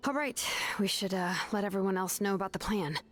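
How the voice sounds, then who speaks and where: A woman's voice: medium pitch, fairly quick, soft background music, close to an everyday voice. A woman voicing a spirited, frank and hopeful young leader in a video game. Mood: determined.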